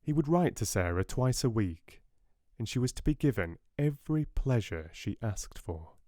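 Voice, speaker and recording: A soft-spoken man with a British accent, reading a storybook as an audiobook narrator. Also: medium pitch, very animated, moderate pace, dry recording, studio quality.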